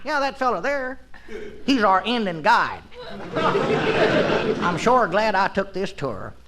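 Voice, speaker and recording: Old bumpkin: homespun, eager, unhurried drawl, old radio show with live crowd. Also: male voice, sits high, sing-song, heavily acted. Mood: delighted. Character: folksy, eager, good-natured old country bumpkin tourist. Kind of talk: old-time radio comedy acting. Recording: noisy vintage radio, studio audience.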